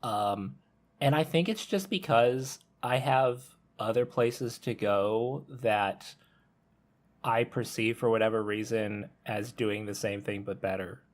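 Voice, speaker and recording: A man in a casual podcast discussion, laid-back and often halting to correct himself. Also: fairly low pitch. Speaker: podcast panelist.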